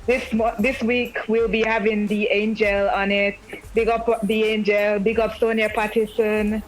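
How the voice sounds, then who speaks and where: A woman with a mid-range voice, talking as a guest artist on a radio show. She chats casually, stumbling over restarts as she lists shout-outs. Faint music plays in the background. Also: medium pitch, little pitch movement, a little slow, poor audio. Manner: chatty, hesitant restarts, giving shout-outs. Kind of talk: casual interview talk. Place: remote line from home, Austria.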